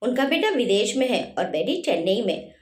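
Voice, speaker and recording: A woman's voice: a little high, sing-song, normal speed. A woman voicing a friendly young male doctor who explains in a matter-of-fact way. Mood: explaining. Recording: roomy echo.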